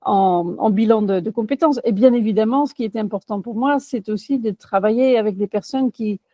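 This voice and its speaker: A woman's voice: a little high, fairly quick, warm tone, no echo. Dutch woman, hesitant interview answers. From Netherlands. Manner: hesitant, many fillers and restarts. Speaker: career coach.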